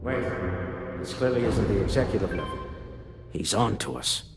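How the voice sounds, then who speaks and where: An actor as a low-voiced, wry male ally. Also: moderate pace, faint music behind, lightly acted. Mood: alarmed. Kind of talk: video game voice acting.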